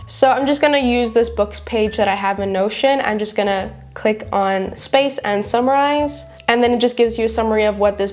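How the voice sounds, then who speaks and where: A woman's voice: mid-range voice, darkish tone. A woman in her twenties, a native Afrikaans speaker, giving a chatty, casual screen tutorial from her home office desk. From South Africa. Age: 20s. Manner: chatty, filler-heavy walkthrough. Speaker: YouTuber.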